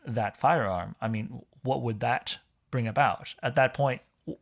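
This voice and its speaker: A man from Hong Kong, a podcast guest in casual conversation, explaining things in a measured way. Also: medium pitch, gravelly.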